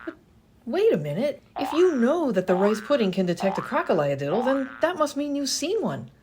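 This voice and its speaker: Lady as impatient sarcastic heroine in radio comedy, highish animated voice, noisy background. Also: a little fast. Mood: skeptical. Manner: impatient, pointed questioning. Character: brisk, exasperated, sarcastic female adventurer. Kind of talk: comedic radio play acting.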